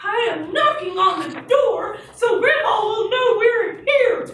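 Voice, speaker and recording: Very high-pitched, stubborn little puppet boy. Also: animated, slow, a bit bright, exaggerated voice. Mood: cheerfully trusting. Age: child character. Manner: earnest, indignant, exclaiming. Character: trusting, stubborn young puppet boy, Johnny. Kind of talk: puppet show acting. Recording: echoey room, distant mic, music behind.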